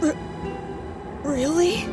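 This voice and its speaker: A shy stammery lil kid for a game, halting and very slow, wobbly pitch. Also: female voice, lively intonation, over loud music, full character voice. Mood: timid, tearful. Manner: hesitant, stammering. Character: timid, tearful little child eager to please.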